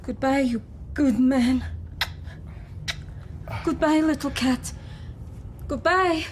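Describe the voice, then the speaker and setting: A gracious woman speaks slowly in a high voice. She sounds wistful, in audio drama style, with faint noise behind her. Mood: wistful farewell.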